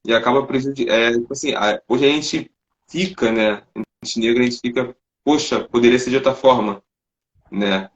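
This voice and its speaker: A man's voice: mid-range voice, steady tone, moderate pace, muffled recording. Brazilian man hosting, reflective and conversational. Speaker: host. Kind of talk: interview podcast.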